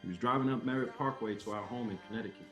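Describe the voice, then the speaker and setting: A man's voice: fairly low pitch, rapid-fire, lo-fi sound, close to an everyday voice. An actor as a proud middle-aged father, roomy echo. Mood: grave, grieving. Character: proud middle-aged husband and father, stubborn but tender. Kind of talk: screenplay table read. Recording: roomy echo, faint music behind.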